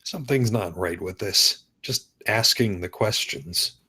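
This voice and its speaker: A man's voice: on the low side, measured pace, pushed and bright, slightly rough, full character voice. A man playing a curt, impatient small-town detective in a screenplay table read. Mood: guarded.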